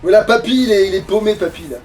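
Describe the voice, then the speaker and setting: A man's voice: high voice, fast, darkish tone. A man giving casual, colloquial, sweary let's play commentary as he plays a video game. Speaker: gamer playing a boss fight. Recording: distant mic, game music behind.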